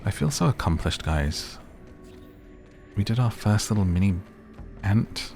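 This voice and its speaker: A soft-spoken man giving gentle, chatty, relaxed ASMR commentary over a game, as the host of the video. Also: fairly low pitch, unhurried, creaky. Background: game music.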